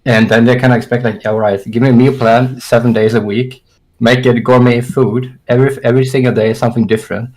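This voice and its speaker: A man's voice: medium pitch, little pitch movement, a little fast, a little mellow, poor audio. Man with a German-Swedish accent. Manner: hesitant, restarting thoughts, talks it through. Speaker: fitness coach. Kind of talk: casual podcast chat.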